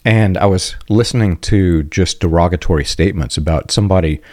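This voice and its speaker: A very low-pitched man hosts a podcast in a casual, reflective tone, pausing to clear his throat now and then. The recording is dry and close, with no room sound around him. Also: a little mellow, dry recording. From United States. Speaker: podcast host.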